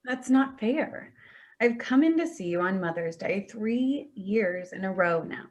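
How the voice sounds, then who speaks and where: A woman's voice: medium pitch, lively intonation, unhurried, dull audio, close to an everyday voice. A woman playing an exasperated, defensive adult daughter in a staged play reading, speaking tersely and bristling.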